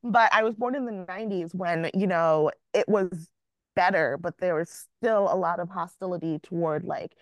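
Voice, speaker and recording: A woman's voice: lowish voice, normal speed, no room sound. Candid young woman author, dry close sound. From Jamaica. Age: young adult. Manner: candid, self-mocking, laughs often. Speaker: author guest. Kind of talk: casual podcast interview.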